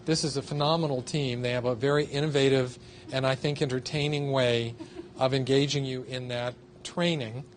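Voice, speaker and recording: A man's voice: fairly even intonation, moderate pace. A man giving an introduction at a community care conference, speaking with frequent restarts and hesitations. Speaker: research study lead introducing a training. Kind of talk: conference talk. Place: conference room. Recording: distant mic with loud rumble.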